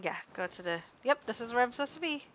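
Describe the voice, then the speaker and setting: Bright, highish female game streamer. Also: expressive, fast talker, a little hiss or hum. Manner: reactive, muttering to herself. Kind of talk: casual live game commentary. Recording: dull audio, faint game music.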